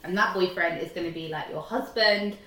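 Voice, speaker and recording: A woman's voice: on the low side, brisk, bright, forceful tone. A woman in her late twenties talks casually, with lots of fillers and restarts. She sits a little away from the mic, and the room rings around her. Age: 20s. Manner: chatty, rambling with many fillers. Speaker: guest. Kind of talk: casual chat. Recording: roomy, from a distance.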